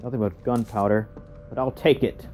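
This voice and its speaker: A man's voice: mid-range voice, fairly even intonation, normal speed, a little mellow, faint music behind. A man casually commenting on a horror game as he plays it, thinking aloud in murmured asides. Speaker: gaming channel host. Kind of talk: casual let's play commentary.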